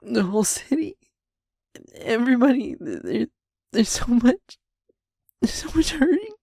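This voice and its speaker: A young empathy demon, crying and stammering through panic, as a woman plays him. The voice is husky and gravelly, swinging high and low in a dry, close sound. Words come slowly between breathless gasps. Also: big pitch swings, warm tone, no room sound, heavily acted. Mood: distraught. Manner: stammering, breathless, near tears. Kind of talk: audio roleplay acting.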